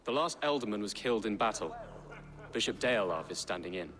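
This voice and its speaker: A man's voice: on the low side, animated, brisk, long reverb tail, lightly acted. An actor playing an earnest, anxious young nobleman in a historical video game, polite and a little hesitant. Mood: courteous, formal. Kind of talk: dramatic video game acting.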